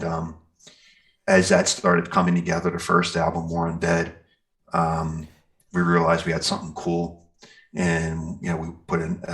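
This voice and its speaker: A man's voice: low-pitched, gravelly, a bit bright. A middle-aged American man chatting casually in an interview as a musician, rambling a little and searching for words.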